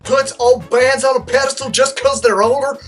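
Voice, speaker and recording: A woman's voice: sits high, steady tone, moderate pace, soft background music, natural voice. A woman voicing an indignant, scornful metal fan ranting in a comedic dramatic reading of comments. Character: indignant, foul-mouthed defender of old rock bands.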